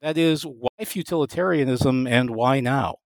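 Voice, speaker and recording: Measured male podcast host, dry sound. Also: mid-range voice, no echo. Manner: measured, formal reading. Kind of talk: scripted introduction and interview questions.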